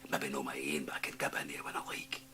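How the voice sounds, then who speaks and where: A man's voice: very deep voice, flat delivery, fast talker, pushed and bright. An actor playing a sly, menacing, gleeful Scottish man in a radio drama, taunting and insinuating, breaking into hissing whispers. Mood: scornful, boastful. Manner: taunting, insinuating, swinging from cajoling to snarling.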